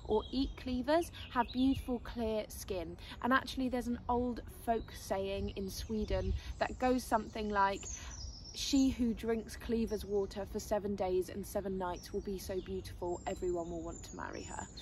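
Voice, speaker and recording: A young woman from England, hosting a foraging and cooking video with bright, enthusiastic, gently playful how-to narration. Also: medium pitch, steady tone, normal speed, a little hiss or hum. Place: woodland outdoors, then wooden cabin kitchen.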